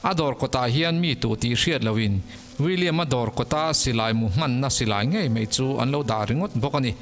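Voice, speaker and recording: A man's voice: medium pitch, fairly quick. A man who is a native Mizo speaker, narrating mystery stories in a scripted storytelling style. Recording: long reverb, music underneath. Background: background music.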